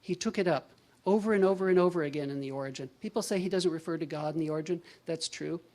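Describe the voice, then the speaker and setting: A male professor giving a measured, scholarly lecture and answering questions. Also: fairly high pitch, a little mellow. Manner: measured, scholarly, thinks aloud with restarts.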